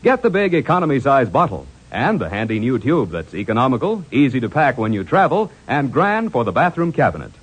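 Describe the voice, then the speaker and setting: Husky, animated male radio announcer. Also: medium pitch, brisk, few pauses. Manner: emphatic, salesman-like. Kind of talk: 1940s radio ad pitch.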